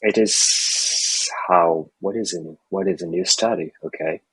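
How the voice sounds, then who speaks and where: Deep gravelly Japanese man, hesitant. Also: unhurried, fairly bright tone, no room sound, rough recording. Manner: polite, hesitant, thinks aloud while answering. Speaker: English student. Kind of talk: one-on-one online lesson.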